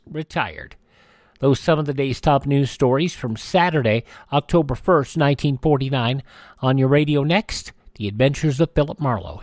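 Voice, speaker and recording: A man's voice: fairly high pitch, a little mellow, dry recording. An American man reading scripted news and show introductions as a radio host in a studio. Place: close, dry studio recording.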